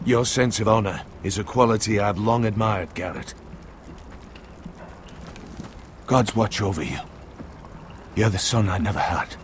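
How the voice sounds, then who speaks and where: An actor playing a grave, devoted older uncle in a medieval fantasy game, speaking in a solemn, formal, measured way. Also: male voice, fairly low pitch, a little hiss or hum, natural voice. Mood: solemn, fond. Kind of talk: dramatic fantasy game acting.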